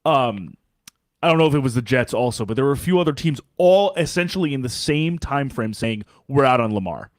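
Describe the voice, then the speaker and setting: A man co-hosting a casual sports podcast, animated and sarcastic, often restarting his sentences. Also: mid-range voice, a little fast, dry recording, clean studio sound. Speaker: podcast co-host.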